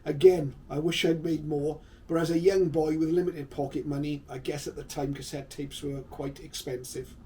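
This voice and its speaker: A man in his fifties narrating his memories to viewers in an unhurried, explanatory way, as a hobbyist vlogger. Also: a little high, fairly even intonation, mellow. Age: 50s. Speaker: vlogger, shortwave radio hobbyist. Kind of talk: reminiscing video narration to viewers. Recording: slightly distant mic, faint background noise.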